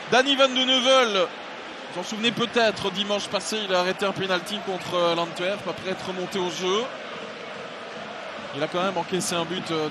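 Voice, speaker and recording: A man giving live play-by-play football commentary, calm in analysis and shouting at goals. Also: sits high. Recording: loud stadium noise behind.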